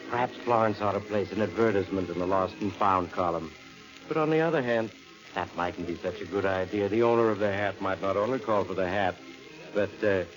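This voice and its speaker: A man's voice: on the low side, lightly acted. A man playing a sly, eerie storyteller on a train, confiding with macabre wit in 1940s radio style. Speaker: host narrator. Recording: muffled old radio recording with music.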